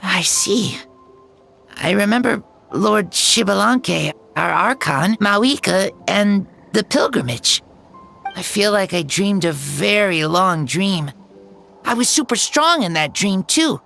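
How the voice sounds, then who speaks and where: Frail, earnest kid for a game: slow, creaky edges, wondering and halting. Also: female voice, mid-range voice, animated, fairly bright tone, soft background music, exaggerated voice. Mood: dazed. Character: frail, earnest child waking dazed and full of wonder. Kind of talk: video game voice acting.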